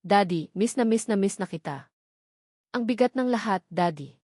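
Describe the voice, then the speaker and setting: A woman's voice: medium pitch, expressive, normal speed, a little mellow, no echo, clean studio sound, natural voice. Cold, guarded woman lawyer, voiced by a narrator. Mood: grieving, resolute.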